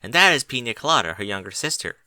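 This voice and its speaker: A man's voice: medium pitch, sing-song, quick pace, bright, forceful tone, no room sound. A man voicing a cheerful, friendly, easily flustered female pegasus pony in an audiobook. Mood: surprised, flustered. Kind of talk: amateur audiobook character voice.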